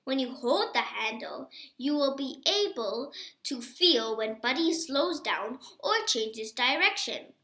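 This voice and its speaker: Woman reader as male guide-dog trainer in a storybook: instructive, emphatic, high and unhurried. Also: animated, sharp tone, reverberant, heavily acted.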